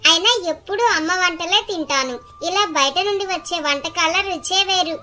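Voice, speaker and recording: Very high, bright chatty schoolgirl. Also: normal speed. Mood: chatty, nostalgic. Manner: childlike, gossipy. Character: chatty, competitive hostel schoolgirls, scheming friends. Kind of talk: acted cartoon story dialogue.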